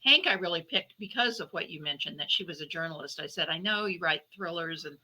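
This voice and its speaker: A woman with a very bright, sharp-edged voice set slightly low for a female speaker. She is a novelist being interviewed and explains things in a conversational way. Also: animated, fairly quick, breathy edge, low-quality recording.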